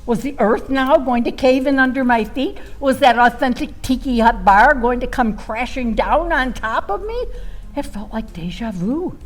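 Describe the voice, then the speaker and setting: A woman's voice: on the high side, faint music behind, lightly acted. A woman playing a flamboyant, self-dramatizing storyteller in a comic radio monologue, wry and flirtatious, drawing out words for effect. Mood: melodramatic. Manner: theatrical, drawn-out delivery with pauses, sighs and exclamations.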